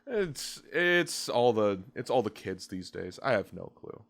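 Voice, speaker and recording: A man's voice: fairly low pitch, big pitch swings, measured pace, creaky, a bit bright. A man in his twenties hosting a casual podcast, rambling and hesitant with many restarts as he asks his questions. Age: 20s. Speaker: podcast host.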